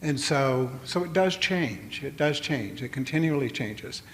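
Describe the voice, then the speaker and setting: An older man giving a public lecture, talking in a halting way with many fillers and restarts. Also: mid-range voice, expressive. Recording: long hall reverb, faint noise.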